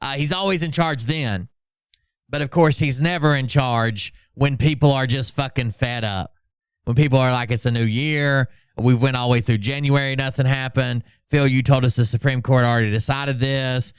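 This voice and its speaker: Male livestream host: mid-pitched, clear voice, casual running commentary, sardonic and sweary. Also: steady tone, moderate pace, dry recording, clean studio sound. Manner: sarcastic, blunt, profane.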